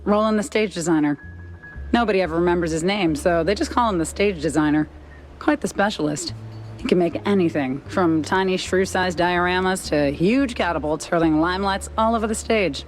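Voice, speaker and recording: An actress playing a savvy, chatty informant in a noir cartoon game, with a knowing, informal manner. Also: female voice, lowish voice, fairly quick, slight background noise, natural voice. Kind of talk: noir game character acting.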